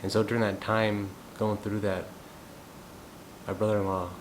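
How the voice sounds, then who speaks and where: A man in his thirties, from the United States, talking through his thoughts in a reflective, unhurried personal monologue. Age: 30s. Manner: thoughtful, unhurried, musing aloud. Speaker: gong practitioner sharing thoughts. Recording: steady low rumbling background noise. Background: rumbling noise.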